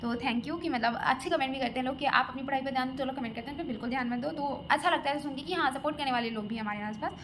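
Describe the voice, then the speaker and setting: A young woman from Lucknow talks through viewer comments in a casual, chatty vlog. She hesitates often, with fillers and restarts, in a voice that sits a little high. Steady background noise runs under the recording. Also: racing, few pauses. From Lucknow, India. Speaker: exam aspirant vlogger.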